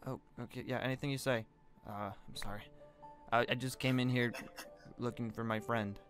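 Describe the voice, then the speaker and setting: A nervous, rash young fire wizard played by a man in a game roleplay. His medium-pitched voice stammers and babbles, with music clearly audible underneath. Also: fairly even intonation, normal speed, a bit bright, close to an everyday voice. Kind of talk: improvised Minecraft roleplay.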